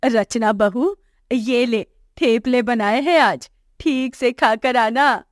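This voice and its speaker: Woman playing gentle, unhurried older mother-in-law, lower-pitched. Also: no echo, clean studio sound. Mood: kind, caring. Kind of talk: acted moral story dialogue for animation.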